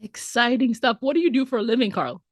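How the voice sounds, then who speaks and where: A woman hosting a podcast, upbeat and playful, talking quickly in a casual interview. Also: fairly high pitch, no echo, studio quality. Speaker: podcast host.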